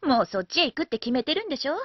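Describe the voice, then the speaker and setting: A woman's voice: sits high, big pitch swings, racing, no echo. A woman playing a flustered, hot-tempered young older sister in an anime audio drama, exclamatory in manner. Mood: flustered, defensive. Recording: dull lo-fi audio. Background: music.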